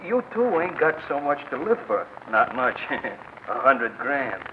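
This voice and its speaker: A menacing, tinny radio gangster. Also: male voice, mid-range voice, big pitch swings, measured pace. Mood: taunting. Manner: slangy, taunting. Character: menacing, mocking male gangster. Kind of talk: 1940s radio acting. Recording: tinny, noisy old radio broadcast.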